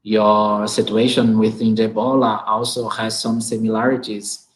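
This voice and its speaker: A man with a Brazilian accent hosts a conversation over a video call from his home. His voice sits on the low side, and his English comes out halting as he searches for words, with frequent laughs. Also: flat delivery, low-quality recording. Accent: Brazilian Portuguese. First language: Portuguese. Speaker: host. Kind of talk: online conversation, relaying audience comments.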